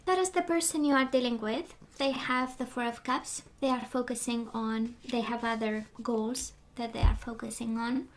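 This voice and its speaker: Higher-voiced woman losing her voice. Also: fairly even intonation, moderate pace, hardly any pauses. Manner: rambling, many fillers, drops into whispers. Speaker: tarot reader. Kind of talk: casual spoken tarot reading.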